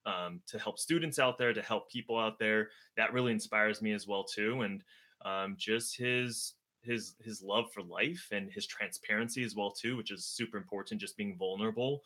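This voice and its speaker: A man's voice: lowish voice, steady tone, normal speed, bright, forceful tone. A young man whose first language is Mandarin, talking earnestly and reflectively as a guest in a casual livestream chat. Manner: reflective, earnest, many fillers and restarts. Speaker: guest, older brother. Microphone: video livestream.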